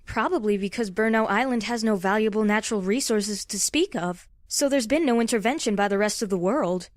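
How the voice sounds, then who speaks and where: A woman's voice: mid-range voice, brisk, no echo, close to an everyday voice. A woman playing an inquisitive, skeptical character with pointed, conversational speech in an audio drama. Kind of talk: audio comic character acting.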